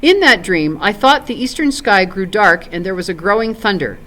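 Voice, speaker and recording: A woman reads as a proud noble warrior from a fantasy storybook. His speech is formal and archaic, grave and doubtful, with a lively, moving pitch. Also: moderate pace.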